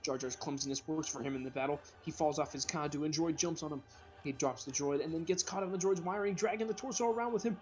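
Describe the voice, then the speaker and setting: A man's voice: on the high side, fast talker, a little mellow. A man narrating a script informally for an amateur comedy reading, sometimes stumbling over the words.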